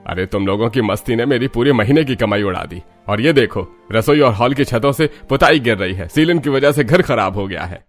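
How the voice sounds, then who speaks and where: A man's voice: medium pitch, lively intonation, fairly quick, hardly any pauses, soft background music, lightly acted. An actor playing a stern, indignant older father-in-law in a cartoon story. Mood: angry. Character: stern, aggrieved father-in-law, head of the household.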